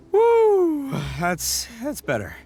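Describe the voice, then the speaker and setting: An actor playing a brash, goofy young horned oni gang boss in a video game, with theatrical, blustering delivery. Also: male voice, high-pitched, a little mellow, husky, full character voice. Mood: flustered, straining. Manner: blustering, theatrical, stammering bravado. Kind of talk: animated video game voice acting. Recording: background music under the voice.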